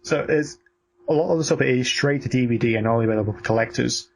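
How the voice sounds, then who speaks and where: A man giving blunt, sweary opinions in casual, halting film talk as a podcast co-host. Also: medium pitch, creaky.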